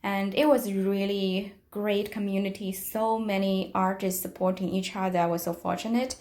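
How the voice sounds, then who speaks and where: A middle-aged woman with a Chinese accent talks through her studio in a gentle, thoughtful way. Her voice sits at an ordinary mid pitch, and she stops often to search for words, filling the gaps with quiet fillers. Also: flat delivery. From China. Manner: soft-spoken, reflective, with frequent pauses and fillers. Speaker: artist giving a studio tour. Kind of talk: casual guided tour narration.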